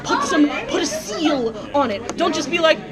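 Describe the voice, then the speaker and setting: A woman's voice: high-pitched, big pitch swings, moderate pace, a loud crowd. A woman hosting, ranting in a casual, comedic way, swearing and mock-lecturing in exasperation. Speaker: host.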